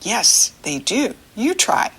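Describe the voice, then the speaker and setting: A woman with an American accent speaks very slowly to young children, in an ordinary mid-range pitch with lively rises and falls. She sounds middle-aged and teacherly. She asks simple questions, leaves pauses for answers and gives encouragement. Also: gravelly, breathy edge. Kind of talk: read-along lesson for young children, questions and praise.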